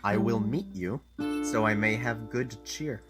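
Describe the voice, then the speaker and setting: A man playing a proud young gentleman lord in a Shakespeare radio play. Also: fairly low pitch, a little slow, loud music behind the voice, lightly acted. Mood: flippant.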